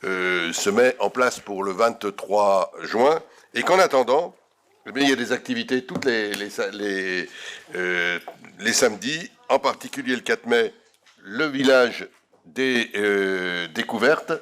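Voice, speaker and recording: A man chairing a council meeting, making informal announcements in a halting way with repeated words. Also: fairly low pitch, fairly bright tone. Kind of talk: informal closing remarks and announcements.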